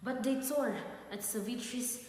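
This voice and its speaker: A woman with a fairly high voice narrates a staged myth in a big reverberant hall with some noise. She reads slowly and deliberately, breaking the script into short, measured phrases. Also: a little mellow. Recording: very echoey hall, long reverb, noisy.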